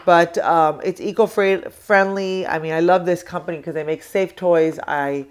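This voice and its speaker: A woman's voice: lowish voice, fairly even intonation, normal speed, clear, resonant tone. A young American woman with a cold and a sore throat, chatting casually to the camera about toys, rambling with lots of ums and restarts. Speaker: toy review vlogger.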